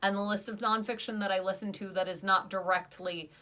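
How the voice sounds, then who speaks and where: A young woman co-hosting a podcast, chatting casually and getting animated when she rants, with lots of "like" fillers. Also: mid-range voice, brisk. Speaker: podcast co-host. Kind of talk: casual podcast review chat.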